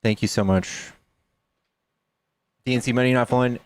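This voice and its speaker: A man's voice: mid-range voice, steady tone, normal speed, smooth, dry recording. Dry-miked thirties man chatting with stream. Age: 30s. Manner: chatty, reads donations aloud, jokes with chat. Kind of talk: casual livestream chat.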